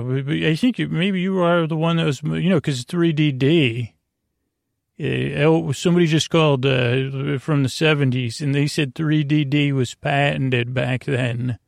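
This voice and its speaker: A man hosting a sleep podcast with a halting, meandering, mumbly delivery, rambling whimsically through a bedtime monologue. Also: on the high side, gravelly, dry recording, studio quality. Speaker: podcast host.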